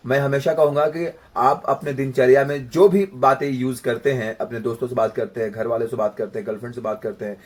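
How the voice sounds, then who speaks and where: A man's voice: mid-range voice, steady tone, brisk, hardly any pauses, a little mellow. A man giving an informal voice practice lesson, explaining with everyday examples and checking in with the listener. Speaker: voice practice coach. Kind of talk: informal instructional talk with demonstrations.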